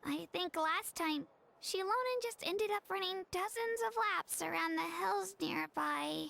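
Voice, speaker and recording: A woman voicing a small, perky fairy companion girl with a squeaky childlike voice in an anime game. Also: measured pace, vocal fry, sharp tone, exaggerated voice. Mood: matter-of-fact. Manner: refers to self by name, perky.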